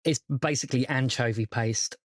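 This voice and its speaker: A man's voice: mid-range voice, fairly even intonation, normal speed. A man with a British accent making wry, casual table talk as the game master of a roleplay session.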